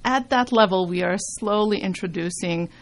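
A woman's voice: mid-range voice, moderate pace. A middle-aged woman born in Serbia, a music school founder chatting as a radio guest, with many ums. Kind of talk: casual radio interview.

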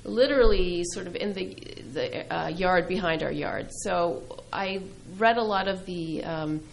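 A middle-aged woman who grew up speaking Greek, a poet answering interview questions thoughtfully, with many pauses and fillers. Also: lowish voice, gravelly, a little hiss or hum. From New England. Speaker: poet and filmmaker guest.

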